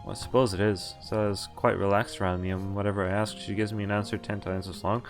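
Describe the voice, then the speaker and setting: Male streamer, low voice, reads visual novel text aloud with offhand reactions; faint music bed. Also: level tone. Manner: plain reading voice broken by quick reactions and chuckles. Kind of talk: reading game text aloud with casual commentary. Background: faint game music.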